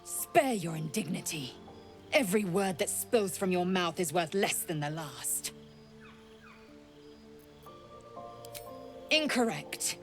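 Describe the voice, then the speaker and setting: An actress playing a proud, fierce alien female warrior in a dramatic video game, blunt and contemptuous, with a haughty, clipped, formal way of speaking. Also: mid-range voice.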